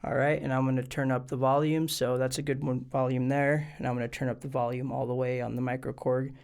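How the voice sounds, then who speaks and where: A man's voice: medium pitch, flat delivery, fairly quick, creaky, a little mellow, smooth. A man hosting a tutorial video, explaining things step by step in an informal, plain way. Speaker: host of a music gear tutorial channel. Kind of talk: casual instructional video tutorial.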